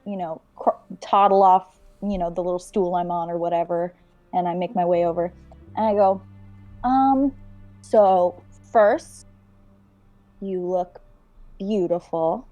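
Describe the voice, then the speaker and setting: A woman playing a tabletop role-playing game, talking casually and improvising in a halting, rambling way. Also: lowish voice, warm tone, highs cut off, soft background music.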